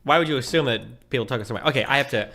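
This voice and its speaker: A man's voice: medium pitch, racing, vocal fry, sharp tone, a little hiss or hum. A man chatting casually on a streamed call. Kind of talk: casual chat.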